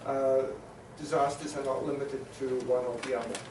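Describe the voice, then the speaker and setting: A man from New Zealand moderating a conference panel discussion, summarizing points with many pauses and filler sounds. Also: medium pitch, steady tone, background noise. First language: Maori. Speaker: panel facilitator. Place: conference hall. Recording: echoey hall, mic at a distance.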